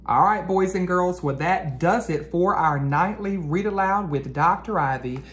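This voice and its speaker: A man hosting a children's read-aloud, speaking expressively to young listeners with drawn-out storybook phrasing, as an educator. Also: sits high, a bit bright. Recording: noticeable background noise.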